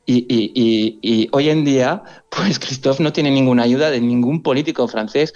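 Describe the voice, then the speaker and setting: Halting man on dull remote line. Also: medium pitch, darkish tone. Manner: halting, repetitive, earnest and indignant. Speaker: interview guest, sports journalist. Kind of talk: spontaneous radio interview. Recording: dull, band-limited remote call audio.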